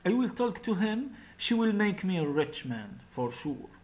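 A man's voice: high voice, big pitch swings, a little slow, a little mellow. A man voicing a scheming beggar who dreams of riches, read aloud from a storybook.

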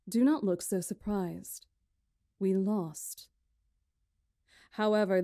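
A woman playing a stately magician in a visual novel, recounting history in a measured, formal, solemn way. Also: mid-range voice, mellow, no echo, studio quality, lightly acted. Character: stately female magician elder recounting ancient history to lords. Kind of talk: visual novel character acting, storytelling.

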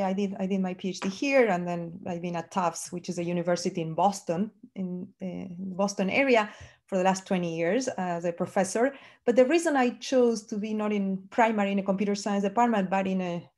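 A woman's voice: mid-range voice, darkish tone. A woman in her fifties from Argentina, a native Spanish speaker with an Argentine accent, presenting research as a professor on a video call. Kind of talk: conference presentation. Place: home office, indoors. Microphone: computer on a video call.

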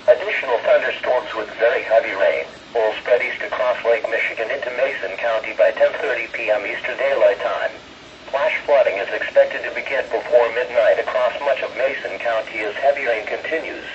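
A synthetic male voice with an American accent, reading formal emergency weather bulletins in a measured, impersonal way through a weather radio speaker. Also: mid-range voice. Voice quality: synthetic text-to-speech voice. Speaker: automated weather radio announcer. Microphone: camera pointed at a small radio speaker. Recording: muffled, noisy radio speaker recorded in a room.

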